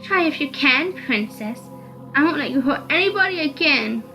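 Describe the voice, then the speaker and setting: Woman acting a high-voiced, resolute magical heroine. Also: animated, moderate pace, dull audio. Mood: defiant. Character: Ashley, resolute young female magical fighter. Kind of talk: visual novel voice-over. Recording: music under the voice.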